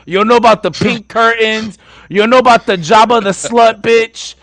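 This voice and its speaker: A man's voice: very high voice, fairly even intonation, normal speed, fairly bright tone, no echo. A man co-hosting a podcast, talking in a rowdy, joking way and swearing a lot. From Puerto Rico. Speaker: podcast co-host. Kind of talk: casual profane banter.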